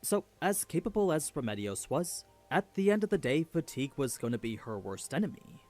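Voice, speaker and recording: A man's voice: fairly high pitch, big pitch swings, brisk, a little mellow, faint music behind. A man reading a scripted story recap as a narrator.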